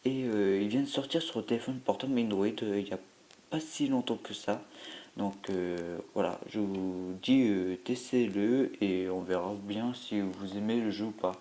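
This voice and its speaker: A man's voice: fairly low pitch, normal speed, warm tone. A man with a cold, tired after a sleepless night, giving rambling, hesitant commentary while playing a mobile game. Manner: rambling, hesitant, full of filler sounds. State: has a cold, stuffy. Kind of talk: gameplay commentary.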